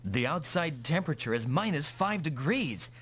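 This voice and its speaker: An actor playing a calm, determined male mech pilot in an anime-style English video game dub. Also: fairly high pitch, moderate pace, husky, heavily acted. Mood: calm, matter-of-fact.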